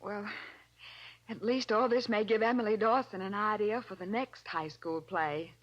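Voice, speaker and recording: An actress playing an earnest, inquisitive young detective's assistant in a 1940s radio crime drama. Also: female voice, medium pitch, normal speed, natural voice. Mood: admiring, candid. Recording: dull vintage 1940s radio recording.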